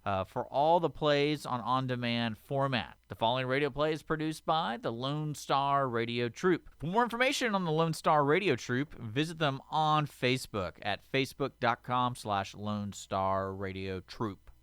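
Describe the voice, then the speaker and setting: A man's voice: mid-range voice, normal speed, few pauses, a bit bright, clear, resonant tone, no echo. A man reading a scripted radio station announcement.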